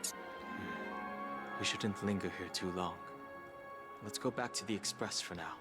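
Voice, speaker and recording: A man voicing a serious, measured investigator in a video game, earnest and matter-of-fact. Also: sits low, loud music behind the voice. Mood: grave. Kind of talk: video game dialogue acting.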